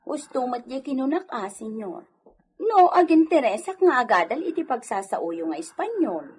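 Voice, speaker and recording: High-voiced wistful village woman played by an actress. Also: very animated, moderate pace, a little hiss or hum. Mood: earnest. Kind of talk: Ilocano comedy radio drama acting.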